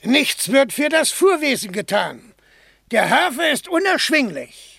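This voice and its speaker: An actor in a German radio play as a pushy, grumbling small-town haulier, blunt and insistent, with a gravelly, husky voice. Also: male voice, very high voice, big pitch swings, unhurried, bright, forceful tone, dry recording, clean studio sound, exaggerated voice.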